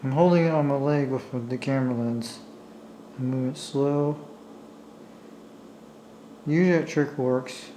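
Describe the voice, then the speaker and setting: A man with a medium-pitched voice talks casually and without a script, like a hobbyist showing off his gear. His delivery is halting and apologetic as he talks himself through what he is doing. The sound is amateur camera audio recorded indoors, with faint noise. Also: mellow, poor audio. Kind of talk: casual unscripted show-and-tell. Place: indoors at a table. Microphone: handheld camera's own microphone.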